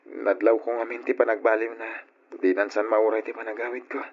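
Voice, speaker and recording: A man's voice: lowish voice, level tone, a little fast, lightly acted. A man playing a lovesick, worried young nephew working abroad in an old radio drama, with a plaintive Filipino accent. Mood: sad confiding. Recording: dull old radio recording, music behind.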